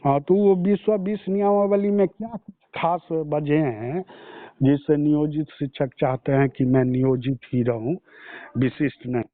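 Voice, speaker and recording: A man's voice: a little high, measured pace, no room sound. A man interviewing over the phone, asking polite, probing questions. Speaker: news channel interviewer. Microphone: phone call. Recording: narrow, dry phone line.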